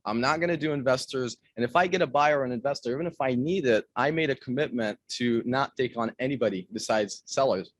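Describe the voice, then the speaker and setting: A young man from Iraq addresses a crowd as a guest speaker, energetic and direct, hammering points home through repetition. His voice sits at an everyday middle pitch. The sound is dry and close. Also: fast talker, hardly any pauses, no room sound. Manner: energetic, direct, repeats phrases for emphasis. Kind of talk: motivational talk to an audience.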